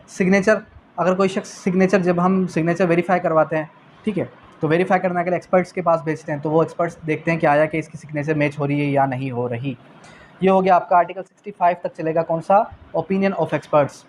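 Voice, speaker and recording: Male Pakistani law lecturer, fairly high voice, didactic question-and-answer delivery, English key terms, some background noise. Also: steady tone, fast. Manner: didactic, asks and answers own questions, repeats key phrases. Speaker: law teacher. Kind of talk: exam revision lecture.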